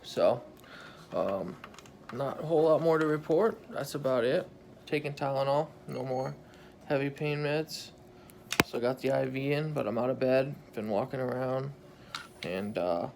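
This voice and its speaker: A man in his thirties with a hoarse, croaky voice after intubation, speaking tiredly into a phone held at arm's length in a hospital room. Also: a little mellow, slight background noise. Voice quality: gravelly, croaky. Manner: tired, low-key, relieved. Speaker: patient recording a video diary. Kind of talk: casual video journal.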